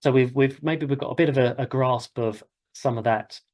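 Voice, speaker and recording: A man's voice: mid-range voice, normal speed, dull audio. A British man giving an informal classroom talk as a flying instructor, rambling and hesitant but encouraging. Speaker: helicopter flying instructor and session host.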